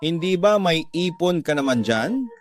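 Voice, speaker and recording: A man's voice: on the high side, animated, unhurried, voice pushed up. A man as coaxing young Filipino boyfriend. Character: jobless young boyfriend asking for money. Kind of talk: radio drama dialogue.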